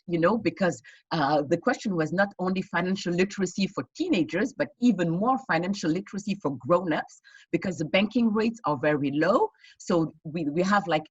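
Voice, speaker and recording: Woman founder, husky, earnest explainer, dry muffled video-call sound. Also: on the low side, no echo. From West Africa. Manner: earnest, explanatory, many fillers and restarts. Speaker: fintech founder. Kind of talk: online panel interview answers.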